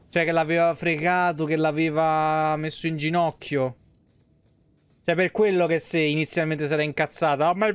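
A man's voice: high-pitched, smooth, no echo. A man in his thirties giving casual, slangy, sweary and sarcastic live commentary on a game. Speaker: game streamer. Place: small room at home, indoors.

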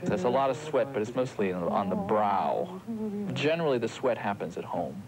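A man's voice: fairly low pitch, sing-song, over a noisy crowd. A middle-aged man, an opera singer, talking thoughtfully in an interview, stopping and restarting often. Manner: halting, thoughtful, many restarts. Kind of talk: reflective interview talk.

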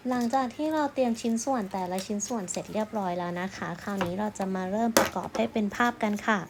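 A woman's voice: mid-range voice, quick pace, background noise. A woman art teacher narrating a craft tutorial step by step in a polite, instructional way.

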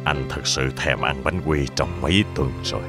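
A gentle old cartoon husband, speaks in a deep voice. He sounds curious and agreeable, with music clearly behind him. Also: moderate pace. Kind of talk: children's cartoon dub. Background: children's music.

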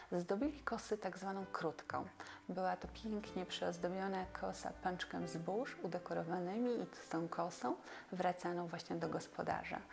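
A woman's voice: lowish voice, vocal fry. A woman in her forties from Poland, talking in an explanatory documentary interview as a folk customs expert. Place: furnished indoor room. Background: music.

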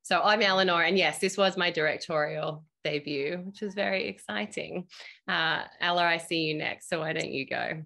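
A middle-aged woman with a low voice speaks as a theatre director in a video-call discussion. She thinks aloud as she goes, shaping her points in conversation. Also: steady tone, moderate pace, gravelly, pushed and bright. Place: room at home.

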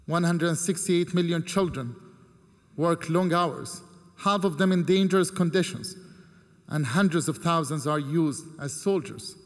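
A fairly high-voiced man in his thirties, from Jordan, delivers a formal speech at a podium microphone. He speaks in measured, earnest phrases with deliberate pauses between them. His voice rings out with a long reverb in a large ornate hall. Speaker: keynote speaker.

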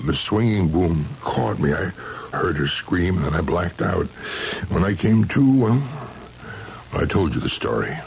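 An actor playing an anguished old husband in a 1970s radio drama, speaking in a halting, dramatic way on a muffled, hissy recording. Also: male voice, sits low, expressive, creaky, full character voice. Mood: weary confession. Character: anguished old man accused over his young wife's death.

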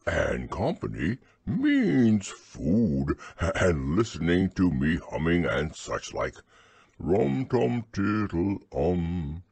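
A man voicing a slow, simple bear who loves food, speaking in a drawn-out, pondering way. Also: sits low, huge pitch swings, a little mellow, slightly rough, no room sound, exaggerated voice. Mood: puzzled.

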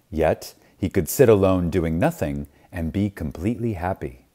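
A man reading a spiritual lecture aloud in a reverent, deliberate manner, with pauses and emphasis. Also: on the low side, warm tone. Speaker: reader of a lecture.

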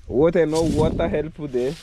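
A middle-aged man from Kenya with a Kenyan accent, hoarse from a cold, chatting to his camera while he works outdoors in his village. He repeats words and jumps up in pitch when he stresses a point. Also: normal speed, background noise. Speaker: vlogger. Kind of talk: casual vlog to camera. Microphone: camera held at arm's length.

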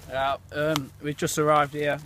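A man's voice: on the high side, flat delivery, unhurried. Teen boy rambling on a phone outdoors. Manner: rambling, filler-heavy, hushed at night. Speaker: vlogger. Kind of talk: casual camping vlog. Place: outdoors, woods by a lake. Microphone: handheld phone. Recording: phone outdoors with wind noise.

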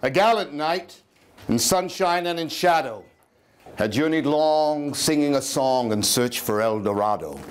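A man's voice: on the high side, expressive, clear, resonant tone. Man as solemn, declamatory Gothic poetry reciter. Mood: solemn, grand. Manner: declamatory, drawn-out phrasing. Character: solemn storyteller reciting a haunting Gothic quest poem. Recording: noisy live room recording.

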